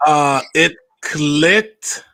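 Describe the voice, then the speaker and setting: A young adult man from Haiti, a stand-up comedian telling his story in a casual interview, with animated emphasis. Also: fairly high pitch, extremely slow, no room sound.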